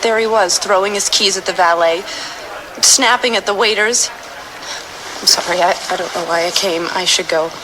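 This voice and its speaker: A woman playing a miserable, grieving young woman in a crime drama, speaking in a halting, hesitant way. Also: medium pitch, close to an everyday voice. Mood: withdrawn, upset. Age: young adult. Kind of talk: naturalistic crime drama acting. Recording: noisy location sound with ambience.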